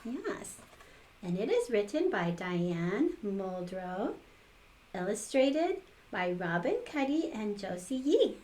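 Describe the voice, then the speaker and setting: A woman's voice: medium pitch, big pitch swings, slow pace, darkish tone. An older woman reading a picture book aloud to children, expressively, with little exclaimed asides. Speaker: storytime reader for children.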